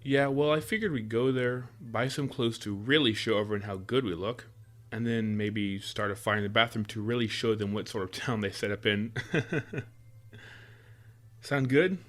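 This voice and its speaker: A man's voice: fairly low pitch, moderate pace, fairly bright tone, close to an everyday voice. A man playing an affectionate, playful boyfriend in a roleplay audio drama, talking in a teasing, coaxing way. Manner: teasing, chuckles often, coaxing. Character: affectionate playful boyfriend spoiling his sweetheart with surprises.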